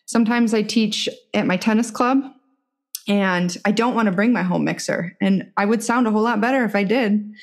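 A woman's voice: mid-range voice, normal speed. A woman hosting a fitness business podcast, chatting casually and asking her guest questions. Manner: chatty, asks questions with brief affirmations. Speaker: podcast host. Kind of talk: casual interview chat. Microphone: home podcast mic.

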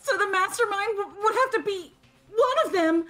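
Lady doing a jittery bookish teen girl, high pitched, trips on words, anime game. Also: lively intonation, moderate pace, pushed and bright, faint music behind, full character voice. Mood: nervous. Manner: stammering, anxious. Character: nervous, gloomy bookish teenage girl. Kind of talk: amateur visual novel voice dub.

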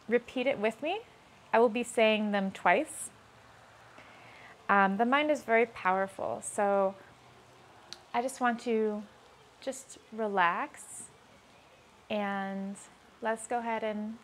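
A woman slowly and calmly leading guided self-affirmations, repeating each line twice. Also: fairly high pitch. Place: outdoors on a rainy day.

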